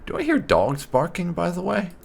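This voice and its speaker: A man's voice: high voice, huge pitch swings, moderate pace, vocal fry, a little hiss or hum, voice pushed up, heavily acted. A man doing a sardonic young elf recruit. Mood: dry. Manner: dry and sarcastic. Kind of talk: roleplaying a game character.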